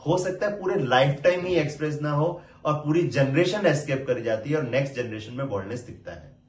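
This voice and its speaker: A middle-aged man, calmly explaining medical matters like a teacher. The voice sits back from the microphone in a roomy, echoing space. His speech mixes in English medical terms. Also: on the high side, brisk, distant mic. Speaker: doctor explaining hair loss. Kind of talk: educational talk. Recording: roomy sound, voice set back from the mic.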